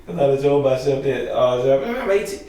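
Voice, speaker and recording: A man's voice: medium pitch, expressive, normal speed, warm tone. A man makes self-mocking jokes in casual podcast chat. He sounds distant from the mic in a very echoey room. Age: 30s. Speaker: podcast co-host. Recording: roomy echo, distant mic.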